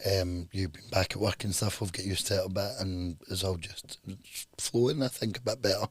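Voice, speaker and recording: A man in his thirties with a hoarse, husky voice he has lost, co-hosting a casual couple's podcast, jokey and quick to laugh. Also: sits low, steady tone, no room sound. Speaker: podcast co-host.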